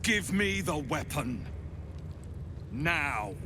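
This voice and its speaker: An actor playing an imperious, devout warrior inquisitor in a video game, speaking formally with cold courtesy and menace. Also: male voice, on the high side, very animated, noisy background, full character voice. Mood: cold, commanding. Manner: formal, measured, commanding. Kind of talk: video game cutscene acting.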